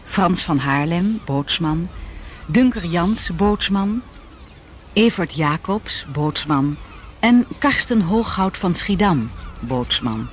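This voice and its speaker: Radio drama narrator, formal seafaring chronicle, raised register, unhurried pace. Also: male voice, high-pitched, expressive, slight background noise. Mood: measured.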